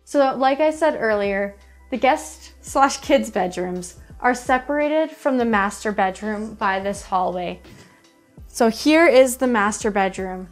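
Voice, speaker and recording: A woman in her thirties with a fairly high voice guides viewers through a show home as she walks from room to room. Her delivery is upbeat and presenter-like. Soft music plays faintly behind her. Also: expressive, a little slow. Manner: upbeat presenter, describing rooms while walking through. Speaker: house tour host. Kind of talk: friendly real estate walkthrough. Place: empty furnished show home indoors. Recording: faint background music under voice.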